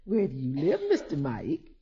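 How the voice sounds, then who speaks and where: A woman's voice: very deep voice, wildly swooping pitch, normal speed, breathy edge, exaggerated voice. An actress playing a motherly, good-natured housekeeper with a folksy, solicitous manner in a 1950s radio sitcom. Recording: dull 1950s radio recording, audience behind.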